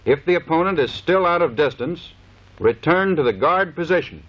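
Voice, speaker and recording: A man's voice: a little high, lively intonation, moderate pace, a bit bright. An American man giving formal, clipped, scripted instructional narration in the manner of a 1930s military training film. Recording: dull vintage 1930s film soundtrack.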